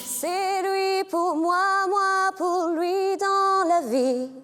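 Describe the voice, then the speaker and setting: A woman sings a tender song at an audition in a very high voice, taking it slowly. Her phrasing is soft and unhurried. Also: faint music behind. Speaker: singing contestant. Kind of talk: audition singing.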